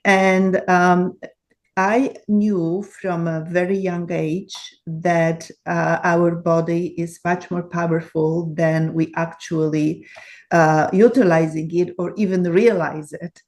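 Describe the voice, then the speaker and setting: Slow Polish-accented woman in her seventies. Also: fairly low pitch, fairly even intonation, a little mellow. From Poland. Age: 70s. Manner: earnest, many fillers and restarts, laughs often. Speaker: guest author. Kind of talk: interview answers.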